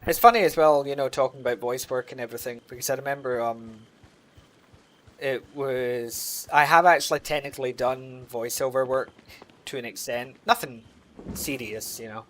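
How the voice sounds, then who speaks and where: A man's voice: medium pitch, fairly even intonation, normal speed, a bit bright, slight background noise. A man streaming a game and chatting loosely with his live audience, rambling with lots of filler words. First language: English. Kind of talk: casual chat with a live audience while gaming.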